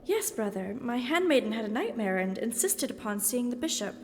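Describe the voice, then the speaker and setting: A woman's voice: on the high side, big pitch swings, a little fast. A woman playing a composed, well-bred young noblewoman in a fantasy audio drama, speaking formally and politely. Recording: long reverb.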